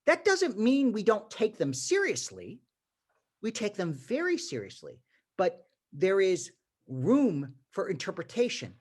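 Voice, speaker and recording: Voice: mid-range voice, unhurried. A teacher with an emphatic manner and many restarts, giving an informal lecture to a class over a video call. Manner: animated, emphatic, frequent restarts. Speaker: teacher leading a congregation class.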